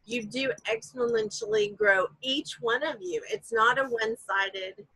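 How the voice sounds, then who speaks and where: Woman guest, bright and kinda husky, emphatic, explains with examples. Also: a little high, very animated, unhurried, no room sound, low-quality recording. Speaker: guest course creator. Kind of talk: casual interview.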